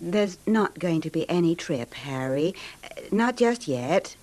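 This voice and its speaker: Coaxing, possessive wife, very expressive. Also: female voice, fairly low pitch, slow. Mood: coaxing, insistent. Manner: coaxing, insistent, hushing. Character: soothing, possessive, controlling wife. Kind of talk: old time radio drama acting.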